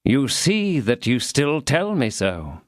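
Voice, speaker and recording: A man voicing a calm, dry-witted musketeer who answers slowly and without fuss. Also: mid-range voice, dry recording, voice pushed up. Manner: calm, unhurried, understated.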